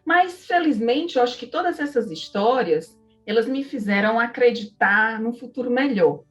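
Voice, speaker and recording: A woman's voice: fairly high pitch, expressive, normal speed, muffled recording. Northeastern Brazilian woman, distant mic over music. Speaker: interview guest, psychology professor. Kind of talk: conversational interview, personal storytelling.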